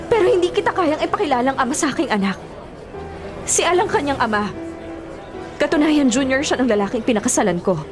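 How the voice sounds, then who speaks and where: A woman's voice: sits high, expressive, fairly quick, pauses often. A woman playing a firm, reproachful young married mother rebuffing her ex in a radio drama. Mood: indignant, resolute. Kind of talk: Filipino radio drama acting. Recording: music bed and crowd noise behind. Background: music, busy crowd sounds.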